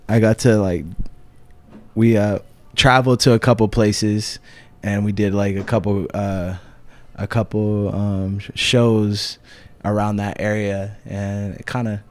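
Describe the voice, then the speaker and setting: A man's voice: on the low side, fairly even intonation, a little slow, a little mellow. A young man from Hawaii, a musician being interviewed, talking in a laid-back way with many fillers and restarts. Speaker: guest musician. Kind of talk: casual interview talk.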